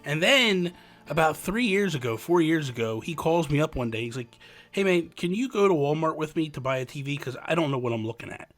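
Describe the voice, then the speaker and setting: A man's voice: fairly high pitch, expressive, a little fast, faint music behind. A man hosting a podcast, chatting casually and rambling as he goes, laughing easily. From New Jersey. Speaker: podcast host.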